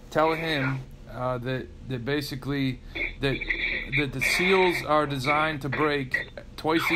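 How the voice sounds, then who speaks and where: A man's voice: mid-range voice, very noisy. A man working as a public insurance adjuster asks questions on a recorded phone call, repeating words and restarting often. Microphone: telephone call recording.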